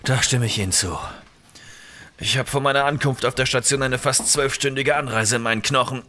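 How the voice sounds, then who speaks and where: A man's voice: medium pitch, a little fast, pushed and bright, a little hiss or hum, close to an everyday voice. An actor playing a polite, tired male newcomer to a research station in an audio drama. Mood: weary, agreeable. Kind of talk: German audio drama acting.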